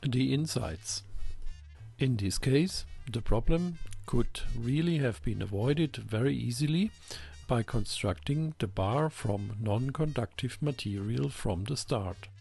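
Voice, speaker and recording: Slow, creaky-voiced man narrating. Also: medium pitch, animated, darkish tone, soft background music. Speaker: host of a ham radio electronics channel. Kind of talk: scripted explanatory narration.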